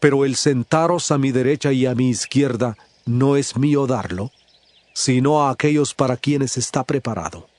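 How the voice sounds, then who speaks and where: An actor playing an authoritative male teacher addressing his disciples in a solemn, measured, declamatory way in a dramatized scripture reading. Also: medium pitch, darkish tone, no room sound, natural voice. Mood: firm, teaching.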